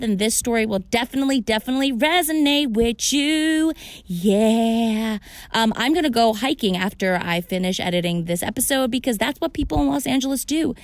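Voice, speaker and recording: A woman's voice: a little high, no room sound. A young adult woman hosting a podcast, chatty and emphatic, swearing casually as she talks. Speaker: podcast host.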